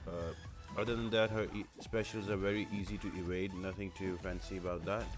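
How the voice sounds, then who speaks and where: This is a man with a low-pitched voice. He narrates a gameplay guide in a casual, instructional way. He explains tactics as the host of a walkthrough. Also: flat delivery, brisk, over music.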